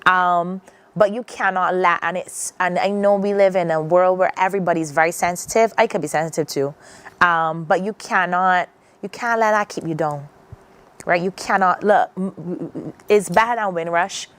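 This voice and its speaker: Earnest Barbadian woman, lowish voice. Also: moderate pace, fairly bright tone. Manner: earnest, reflective, many restarts. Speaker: guest. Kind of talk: morning TV interview.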